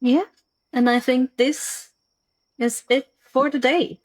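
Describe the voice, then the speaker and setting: A woman narrating a tabletop roleplaying game as host and game master, in a deliberate, drawn-out way. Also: sits high, steady tone.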